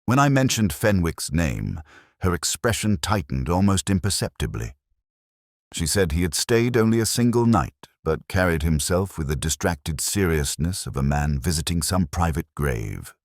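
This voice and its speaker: Man acting as introspective detective, extremely low pitch, expressive intonation, measured literary narration, melancholic, audio drama. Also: dry recording, studio quality. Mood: brooding, reflective. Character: melancholic, introspective male police inspector, brooding and doubtful. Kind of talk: scripted first-person detective drama narration.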